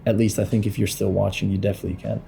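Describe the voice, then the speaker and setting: Low-voiced blunt male business coach. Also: level tone, racing, creaky, warm tone, a little hiss or hum. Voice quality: lowered late-night voice. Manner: blunt, swears freely, pushy. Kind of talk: casual screen-share tutorial.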